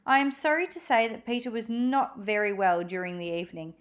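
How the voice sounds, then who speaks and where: A woman reading a storybook aloud for children in an expressive, animated way. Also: on the high side, brisk. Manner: animated, acts out dramatic moments for young listeners.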